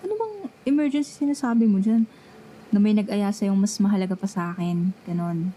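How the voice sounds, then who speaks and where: Woman acting a grumpy, jealous young Filipina, mellow-voiced. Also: a little high, slight background noise, natural voice, poor audio. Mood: hurt, sarcastic. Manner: dramatic, sulky, sarcastic. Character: jealous, grumpy best friend feigning indifference. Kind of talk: ASMR roleplay, one-sided dialogue.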